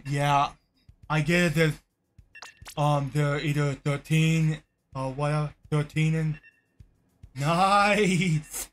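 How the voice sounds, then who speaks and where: A man's voice: on the high side, steady tone, extremely slow, long pauses, a bit bright. A man in his twenties chatting to his viewers while playing a game, rambling and repeating himself, with short bursts of frustration. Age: 20s. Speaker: streamer. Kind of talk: casual game commentary to chat. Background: game music.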